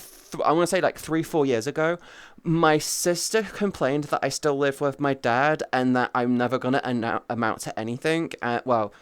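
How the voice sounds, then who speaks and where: A man's voice: medium pitch, clean tone, no echo. A man in his thirties streaming, rambling in casual chat with his viewers, swearing freely and greeting chatters by name. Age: 30s.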